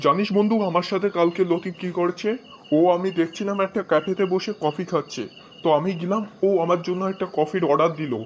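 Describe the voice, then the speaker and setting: A man playing a cheeky, excitable comic character in a cartoon dub. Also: high voice, fast, slight background noise, natural voice. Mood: complaining.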